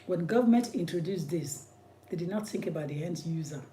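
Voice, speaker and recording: Nigerian accent guy, lawyer ranting hard, repeats himself like a preacher, kinda dark mellow tone, faint hiss behind. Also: on the high side, fairly quick. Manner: emphatic, repetitive, preacherly. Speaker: immigration lawyer. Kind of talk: impassioned commentary.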